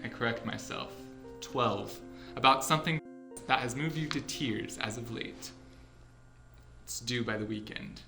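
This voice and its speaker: A man's voice: mid-range voice, creaky, over music. A man playing a smug, goofy graduate teaching assistant addressing a class in a comedy web series, wry and showy. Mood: smug, playful. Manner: wry, showy, drawn-out delivery. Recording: echoey room.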